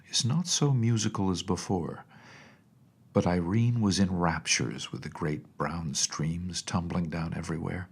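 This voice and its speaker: A male narrator reads a storybook in a measured, expressive manner. His voice is low and husky, with plenty of creak in it. He is telling a scripted tale as an audiobook.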